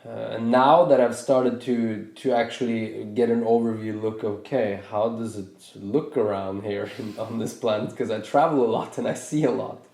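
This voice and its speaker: A man in his thirties from Norway talks slowly through his thoughts, circling back and restarting his sentences as he goes. His voice sits on the low side and sounds warm and mellow. The room around him is noticeably echoey. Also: steady tone, vocal fry. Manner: thoughtful, hesitant with many restarts. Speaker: podcast host. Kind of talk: reflective casual conversation.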